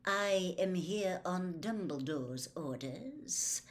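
A woman plays a sneering wizard schoolmaster in a storybook reading. The voice is low and quiet, smooth and sometimes breathy. Each barbed word is placed with slow, cold condescension. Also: big pitch swings. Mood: quietly waspish. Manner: slow, smooth, waspish, pausing before barbed words. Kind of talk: audiobook character voice.

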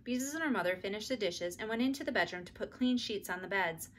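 A middle-aged woman reading a children's book aloud in expressive storybook narration, recorded in a room at home. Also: medium pitch, fast, a little hiss or hum. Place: small indoor room at home.

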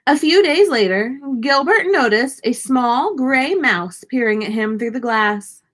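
A woman's voice: high voice, expressive, a little slow, fairly bright tone. A woman in her thirties reads a children's storybook aloud, expressively and with dramatic emphasis for young listeners. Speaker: teacher reading a picture book aloud. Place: small indoor room, seated.

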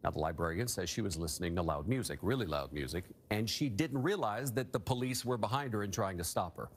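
A man's voice: fairly low pitch, expressive, fast. A middle-aged man delivering a broadcast news report as a television correspondent. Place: TV news studio. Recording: noisy replayed news broadcast.

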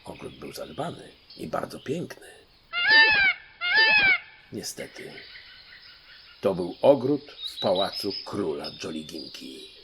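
An older man narrating a children's audiobook in an expressive, dramatic, grandfatherly storytelling manner. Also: low voice, slow pace, long pauses, very noisy. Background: music.

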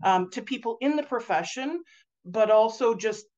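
A woman in her forties speaks from home over a webcam, her voice pitched a touch high and carried on a dull, lo-fi call line. She talks loudly and animatedly, piling up fillers and quick restarts as she goes. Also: animated. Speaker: guest author. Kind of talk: casual video call interview. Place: home room indoors. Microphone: video call webcam.

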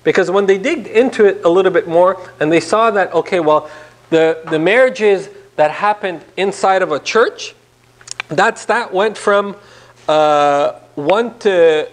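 A man with a notably high-pitched voice gives an informal church lecture. He talks like a priest addressing a small group, animated and conversational, and keeps putting questions to his listeners. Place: small indoor meeting room.

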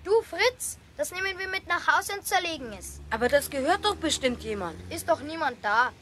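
A bold, curious boy with a high, bright voice, bantering quickly and cheekily. An old children's radio-play recording with faint tape noise. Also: lively intonation. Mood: cheeky.

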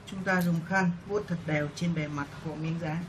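A man narrates a step-by-step demonstration. His voice sits a little higher than usual for a man. The recording has clear background noise and ambience throughout. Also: fairly even intonation, normal speed. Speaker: shop demonstrator giving instructions. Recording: clearly noisy room recording.

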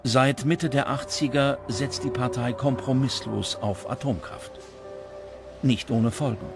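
A man's voice: medium pitch, steady tone, a little slow, creaky. A man reading scripted documentary narration as the narrator. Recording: music bed under the voice. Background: prominent background music.

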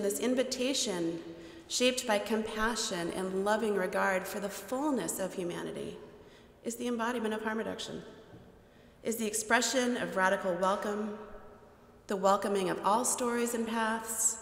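A middle-aged woman preaching a sermon from a pulpit on a headset mic, with a measured, deliberate cadence. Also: mid-range voice, vocal fry. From United States. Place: large church sanctuary. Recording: echoey church hall with long reverb.

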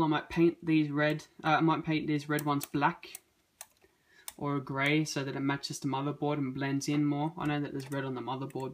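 A man in his thirties giving a casual handheld walkthrough of a computer build at home, filled with ums and uhs, recorded on a phone camera. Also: a little high. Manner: hesitant, many ums and uhs. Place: home room indoors. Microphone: phone camera held in hand.

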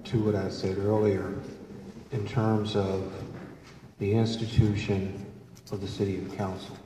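A male city attorney speaks slowly and deliberately, stressing each point firmly. His voice is low and mellow. The room around him is echoey, with a long reverb. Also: level tone, slight background noise. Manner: slow, deliberate, emphatic. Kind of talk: formal legal remarks at a meeting. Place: large council chamber with wood panels. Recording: echoey council chamber.

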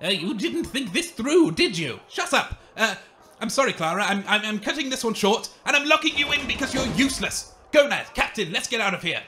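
Man playing an excitable, high-pitched game show host. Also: brisk, a little hiss or hum, full character voice. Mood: brisk. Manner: flustered, scolding. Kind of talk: comedy audio drama acting.

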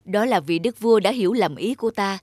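A woman's voice: medium pitch, brisk, darkish tone, breathy edge, no echo, studio quality, close to an everyday voice. Woman as an earnest, steadfast young father. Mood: hopeful. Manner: earnest, respectful. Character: humble, steadfast young husband and father, hopeful. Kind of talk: cartoon fairy tale character voice.

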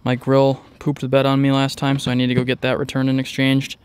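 A man's voice: medium pitch, monotone, fairly quick, darkish tone, smooth. A man vlogging outdoors, narrating a casual walkaround in a rambling, informal way. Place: outdoors in a driveway beside a truck.

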